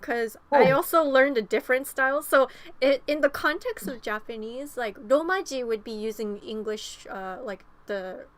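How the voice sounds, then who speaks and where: Rambling young woman, light high voice. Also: normal speed, hardly any pauses. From Los Angeles. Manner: rambling, many fillers and restarts. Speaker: podcast co-host. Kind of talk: casual chat.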